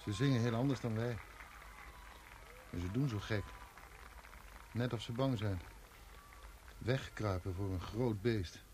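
A man's voice: on the low side, a little slow, pauses often, darkish tone, slight background noise, natural voice. An actor playing a naive, wistful fisherman's son from overseas, speaking simply and earnestly in a radio play. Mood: uneasy. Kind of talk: Dutch radio play acting.

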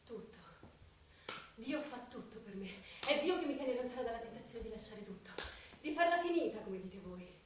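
A woman's voice: fairly high pitch, animated, moderate pace, background noise, lightly acted. An actress playing a devout, suffering young woman who clings to her faith, fervent and earnest in an Italian radio drama. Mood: quiet, resigned. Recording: very echoey, distant mic, loud music.

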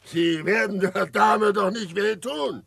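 Ein Schauspieler spielt in einem Krimihörspiel einen groben, höhnischen Kerl. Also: male voice, sits high, takes it slowly, gravelly, fairly bright tone, slight background noise, heavily acted. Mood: jeering. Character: rough, jeering thug from the bushes. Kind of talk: German radio crime drama acting.

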